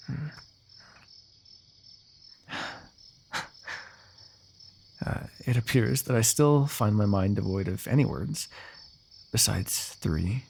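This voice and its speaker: A man playing a lovestruck, eloquent fantasy royal in a romantic ASMR roleplay, talking intimately and poetically with gentle teasing. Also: on the low side, vocal fry, a little hiss or hum, heavily acted. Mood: breathless, adoring. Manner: intimate, poetic, talkative, softly amused.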